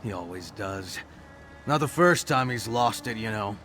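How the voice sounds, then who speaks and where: A man's voice: fairly low pitch, lively intonation, measured pace, fairly bright tone, faint music behind. A man voicing a brusque, grumbling, self-important ship's hand in a video game. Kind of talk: scripted video game dialogue.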